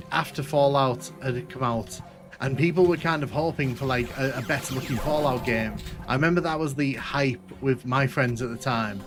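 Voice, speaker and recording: Northern English man, Manchester accent, relaxed gaming streamer talking over clear game music, trails off and restarts his sentences. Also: mid-range voice. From Northern England. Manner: rambling, self-correcting, chatty. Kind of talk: casual live-stream chat while playing.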